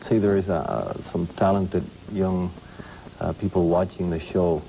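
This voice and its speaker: Host guy, deep mellow voice, halting with lots of uh, faint hiss. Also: level tone, poor audio. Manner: friendly, halting, many fillers. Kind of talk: interview questions.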